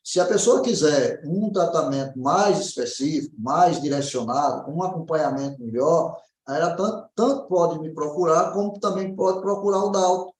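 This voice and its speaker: Echoey, higher-voiced Brazilian man explaining. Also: moderate pace, few pauses. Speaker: naturopath answering questions. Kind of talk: informal health consultation. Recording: roomy echo.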